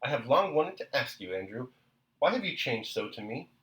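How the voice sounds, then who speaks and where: A man's voice: mid-range voice, big pitch swings, normal speed, lightly acted, poor audio. A man voicing a petulant young pregnant princess who complains and pleads tearfully. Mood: tearful pleading. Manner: querulous, pleading.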